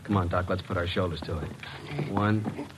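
An actor playing a plain-spoken, wisecracking detective's assistant in direct 1940s radio style. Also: male voice, sits low, fairly even intonation, moderate pace, lightly acted. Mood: brisk, suspicious. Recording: noisy vintage radio recording.

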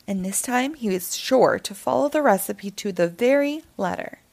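A woman reading a children's picture book aloud in an animated storytelling style. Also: mid-range voice, normal speed, gravelly.

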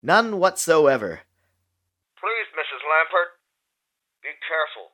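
A man's voice: on the high side, sing-song, slow. A man playing a grave, concerned government official, heard through a telephone filter in a radio drama. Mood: urgent warning. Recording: thin, tinny telephone line.

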